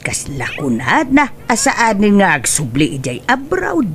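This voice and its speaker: A woman playing a cheery, doting mother of grown children in a comedic radio drama, with drawn-out delivery. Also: mid-range voice, huge pitch swings, a bit bright, slight background noise, heavily acted. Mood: cheerful. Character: cheery, doting mother of a returning overseas worker.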